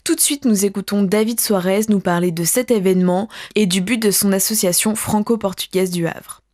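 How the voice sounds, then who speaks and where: A woman hosting a radio magazine, reading a scripted introduction and then asking interview questions in a clear, measured way. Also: mid-range voice, steady tone, a little mellow, no room sound, studio quality. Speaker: radio show host.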